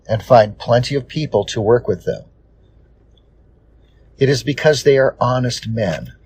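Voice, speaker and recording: A man reading a scripted introduction in the measured style of an audiobook narrator. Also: mid-range voice, darkish tone, breathy edge, no echo.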